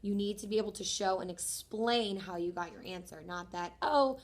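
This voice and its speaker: A young woman, a third-grade teacher, whose voice is going hoarse, explaining homework strategies step by step in a friendly, encouraging way. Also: mid-range voice, moderate pace. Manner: friendly, encouraging, talks through each step. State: losing her voice, hoarse. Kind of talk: homework explanation video for students.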